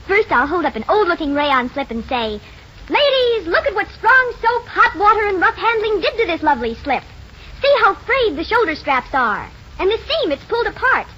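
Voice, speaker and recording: A woman's voice: extremely high pitch, very animated, moderate pace, sharp tone. A woman with an American accent giving a scripted 1940s radio advertising demonstration. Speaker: sponsor spokeswoman. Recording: muffled, noisy 1940s radio recording.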